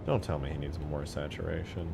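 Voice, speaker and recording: A man's voice: very deep voice, steady tone, fairly quick, creaky, very noisy. A man live streaming while he works, casually thinking aloud and muttering questions to himself. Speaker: game modding live streamer.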